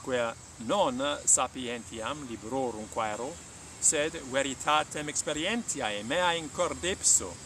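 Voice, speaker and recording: Man reading as a serene, solemn sage, slowly declaiming. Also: mid-range voice, a bit bright, a little hiss or hum, natural voice. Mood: calm. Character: serene, unshakeable male sage meditating under a tree.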